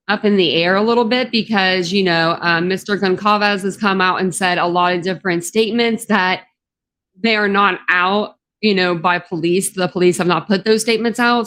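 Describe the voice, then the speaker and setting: A woman's voice: mid-range voice, a bit bright. A woman with a Southern drawl, chatting casually on a livestream. Her voice is a bit scratchy from the morning, and she rambles with plenty of ums. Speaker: true crime YouTuber.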